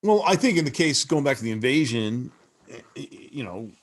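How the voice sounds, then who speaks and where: A man's voice: medium pitch, animated, moderate pace. A man speaking as a panelist in a casual discussion, often restarting and repeating words as he thinks. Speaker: convention panelist.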